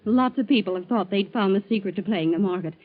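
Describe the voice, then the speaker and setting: An actress playing a devoted, anxious sweetheart with halting, emotional delivery in 1940s radio drama style. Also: female voice, mid-range voice, natural voice. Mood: worried, amazed. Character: devoted, anxious sweetheart woman, breathlessly astonished. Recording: tinny, muffled vintage radio recording.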